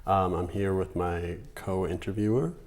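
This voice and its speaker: A man interviewing a guest for an oral history project, asking gentle, hesitant questions. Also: low voice, fairly even intonation, measured pace. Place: community center room.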